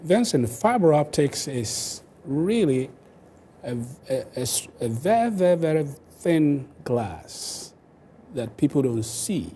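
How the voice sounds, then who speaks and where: Older Ghanaian-accented male expert, mellow mid-range voice, explanatory interview delivery, some background noise. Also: fairly high pitch, very animated, slow pace. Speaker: inventor, interview guest.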